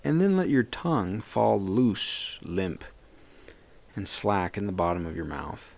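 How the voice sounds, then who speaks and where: A man leading a guided meditation as a therapist, speaking in a gentle, soothing and reassuring way. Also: low voice, expressive, measured pace, vocal fry.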